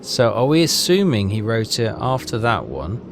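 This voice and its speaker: A man's voice: mid-range voice, wildly swooping pitch, moderate pace, over music. A man hosting a game playthrough, casually thinking aloud and reading bits of on-screen text as he works things out.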